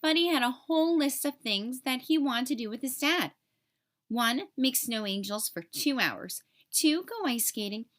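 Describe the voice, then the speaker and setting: Bright-voiced woman reading a children's storybook. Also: sits high, a little slow. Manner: expressive, clearly phrased for children. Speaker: storybook reader. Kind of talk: scripted children's read-aloud narration.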